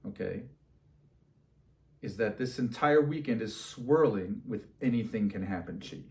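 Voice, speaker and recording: A man voicing his laid-back shaman friend, an earnest adviser with emphatic, drawn-out dude-speak in a spoken story. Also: lowish voice, lively intonation, darkish tone, far from the mic. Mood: grave, emphatic.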